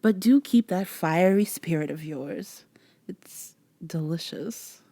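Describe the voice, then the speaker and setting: Haughty, teasing vampire woman acted by a woman, ASMR drama; lowish, close and dry, measured formal speech. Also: gravelly, warm tone, no room sound, exaggerated voice. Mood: amused, condescending. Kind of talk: soft-spoken ASMR roleplay acting.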